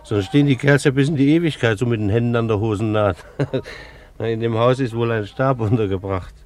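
An actor playing a defensive, officious German bailiff in a radio play, stammering and easily affronted. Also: male voice, mid-range voice, a little fast, a little mellow, natural voice. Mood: awkwardly polite. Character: indignant German bailiff, a defensive petty official telling his past. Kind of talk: German radio play acting with first-person narration.

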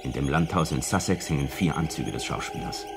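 Secret agent in radio drama, extremely low voice, terse and factual, music underneath. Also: male voice, expressive, fairly quick, gravelly, heavily acted. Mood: factual, intent. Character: matter-of-fact male secret service investigator briefing a colleague. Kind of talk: 1970s German radio play dialogue.

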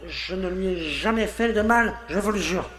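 A man's voice: high voice, moderate pace, a bit bright, faint music behind. An actor playing an indignant, self-pitying village mayor who stammers as he protests his innocence in a French audio drama. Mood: defensive, pleading. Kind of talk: French audio fiction acting. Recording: roomy, echoing sound.

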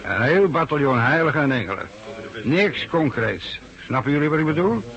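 A man's voice: a little high, animated, normal speed, a bit bright. An actor playing a chatty, devout barman in a remote bar, in the style of a Dutch radio drama. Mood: earnest, fervent. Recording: dull, lo-fi old radio recording.

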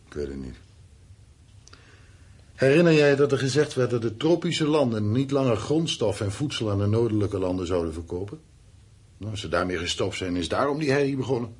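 A man's voice: fairly low pitch, very animated, a little fast, darkish tone, husky. An actor playing a weary, defensive, practical man in a radio play, reassuring but turning irritable when challenged. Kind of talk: naturalistic radio play acting. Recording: noisy radio play recording with background ambience.

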